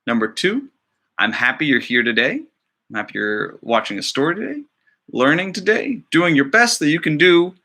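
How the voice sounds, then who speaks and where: Bright, animated man introducing storytime. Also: medium pitch. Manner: encouraging, addressing young children. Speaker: school librarian reading stories to children. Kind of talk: casual introduction to a children's storytime.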